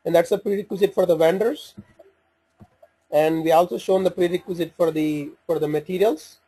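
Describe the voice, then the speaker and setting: A man giving an informal step-by-step software walkthrough, speaking haltingly as he thinks aloud while working through the screens. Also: high-pitched, fairly even intonation, husky. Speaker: software demonstrator. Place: voice-over for a screen recording.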